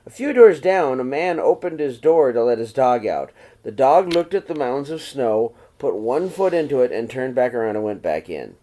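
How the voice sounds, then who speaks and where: A man reading a picture book aloud to young children in an expressive storytelling style. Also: mid-range voice, moderate pace, hardly any pauses.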